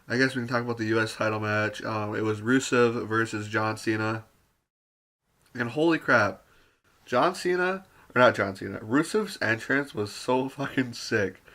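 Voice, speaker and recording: A man giving a rambling, casual spoken review full of fillers and mild swearing, his voice turning raspy with occasional coughs. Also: mid-range voice, unhurried, long pauses, bright, forceful tone. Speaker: wrestling show reviewer.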